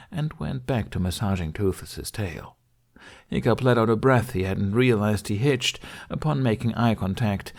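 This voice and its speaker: A man's voice: on the low side, gravelly, darkish tone. A man reading fantasy fiction aloud as a scripted audiobook narration.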